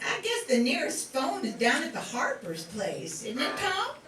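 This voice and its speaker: A woman's voice: sits high, huge pitch swings, a little slow, crowd noise, full character voice. A woman playing a hospitable, chatty country wife in an old-time radio drama. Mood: welcoming, homely. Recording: roomy, distant mic, faint music behind.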